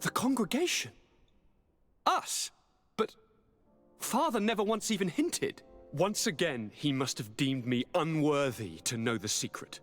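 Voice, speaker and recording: An actor playing an eager young noble governor in a video game, with a courtly, dramatic, formal delivery. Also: male voice, on the high side, expressive, a little slow, pauses often, a bit bright, soft background music. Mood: shocked, bitter. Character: young nobleman governor, eager, theatrical, wounded by his father. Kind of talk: video game cutscene acting.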